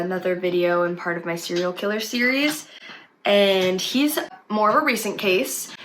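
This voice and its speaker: A woman's voice: mid-range voice, a bit bright, a little hiss or hum, rough recording. A young woman with a slight cold, chatting casually as she tells a true crime story for her channel. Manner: chatty, conversational with fillers. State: has a cold, sniffly.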